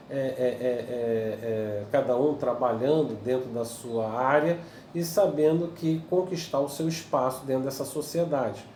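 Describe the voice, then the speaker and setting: A man's voice: medium pitch, warm tone, distant mic. A male history teacher with a Brazilian Portuguese accent, giving a recorded video lesson to pupils and checking in with "tá?" while hesitating with fillers. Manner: explaining patiently with frequent "tá?" check-ins and hesitant "é, é" fillers. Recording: echoey room, voice a bit off-mic, faint background hiss.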